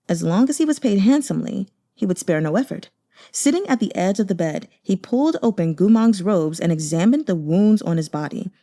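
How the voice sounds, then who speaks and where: A woman reading a novel aloud as an audiobook narrator, in expressive scripted storytelling. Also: mid-range voice, brisk. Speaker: audiobook reader.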